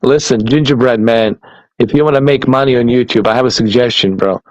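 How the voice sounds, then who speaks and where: A man's voice: mid-range voice, brisk, darkish tone, no echo, lo-fi sound. A man hosting a casual stream and podcast, laughing often and swearing freely. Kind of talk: casual chat.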